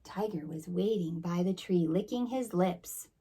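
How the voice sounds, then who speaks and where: A woman storyteller reading a picture book aloud to children expressively, with deliberate phrasing. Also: fairly low pitch, warm tone, husky.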